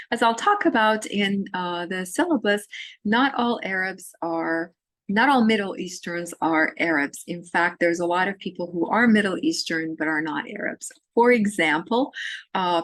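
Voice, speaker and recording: A woman from Syria giving a recorded course lecture, talking in a halting way with many fillers and restarts. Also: mid-range voice, fairly bright tone, dry recording. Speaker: literature lecturer.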